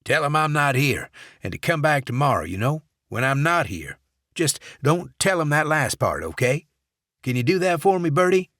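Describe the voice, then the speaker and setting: A man voicing a gruff, grumpy, work-dodging office manager in an audiobook. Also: a little high, sing-song, normal speed, a bit bright, dry recording, clean studio sound, pitched above the performer's own voice.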